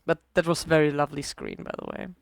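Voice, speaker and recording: A hoarse woman casually rambling through gameplay commentary as a let's play creator, self-deprecating with many fillers. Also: sits low, level tone, fast.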